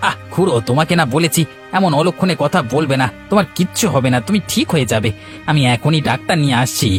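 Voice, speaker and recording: A man's voice: on the high side, lively intonation, quick pace, faint music behind, natural voice. A man voicing an earnest, loyal village uncle in a cartoon, urgent and pleading in his way of talking. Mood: earnest, reassuring. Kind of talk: cartoon voice acting.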